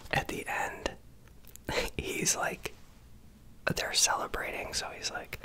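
Close, rambling ASMR whisper. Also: rough recording. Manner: soft rambling whisper, meandering asides. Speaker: ASMR channel host. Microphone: close microphone.

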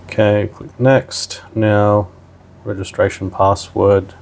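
A man's voice: lowish voice, flat delivery, slow, creaky, a little mellow. A man narrating a hands-on product tutorial in an informal, step-by-step way. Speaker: product demonstrator.

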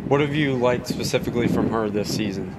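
A man's voice: medium pitch, fast, heavy background noise. A man who hosts a college sports broadcast, interviewing a coach, reading sponsors and wrapping up the game in an upbeat style.

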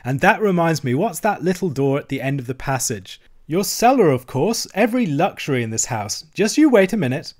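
A man voicing a cheerful, bustling male water rat who talks in a brisk, hearty, encouraging way. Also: on the high side, sing-song, a little mellow, pitched above the performer's own voice. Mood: teasing.

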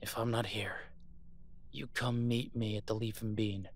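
A man's voice: fairly low pitch, level tone, a little slow. A man acting an embattled, protective supernatural fighter in an audio roleplay, urgent and commanding. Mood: urgent, insistent.

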